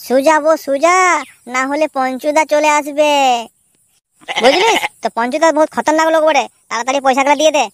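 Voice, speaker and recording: A performer voicing an excitable, crude petty crook in a slapstick cartoon, exclaiming and repeating words. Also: sits high, no room sound, studio quality, close to an everyday voice. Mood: blustering threats. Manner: exclamatory, repetitive, swearing, bantering. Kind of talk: comic cartoon dubbing in colloquial dialect.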